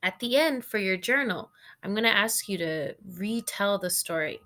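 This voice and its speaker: A woman teacher reading a children's storybook aloud to her students in an expressive way. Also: mid-range voice, normal speed.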